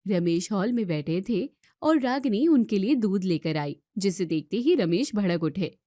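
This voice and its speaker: Mellow woman narrating a story. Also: mid-range voice, expressive, measured pace, no echo, studio quality.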